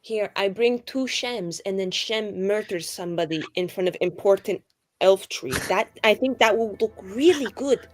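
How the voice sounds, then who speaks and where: A woman's voice: mid-range voice, moderate pace, a little mellow. Sarcastic woman playing a tabletop game. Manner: sarcastic, dry. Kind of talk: casual in-character banter.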